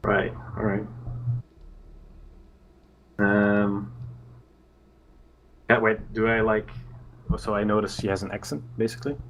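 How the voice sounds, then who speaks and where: A man's voice: lowish voice, level tone, unhurried, long pauses, fairly bright tone, slight background noise. A man playing a tabletop roleplaying game, chatting casually as he decides what his character does.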